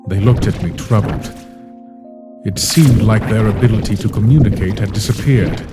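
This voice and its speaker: A man voicing a weary, brooding ancient being who narrates a comic dub in a solemn, measured way. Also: fairly low pitch, natural voice. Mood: pensive, guarded. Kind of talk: scripted first-person comic dub narration. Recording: voice under loud music.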